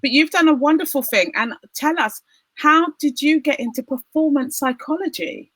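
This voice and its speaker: A woman's voice: high voice, moderate pace, no echo. A woman hosting a casual video-call interview, bubbly and emphatic, often laughing. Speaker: podcast host and opera singer.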